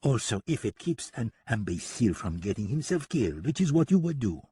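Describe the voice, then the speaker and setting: A man's voice: medium pitch, big pitch swings, a little fast, dark tone, husky. A man voicing a mocking, sly French gunman with a French accent in a western audiobook. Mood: sly, boastful. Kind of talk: western audiobook character acting.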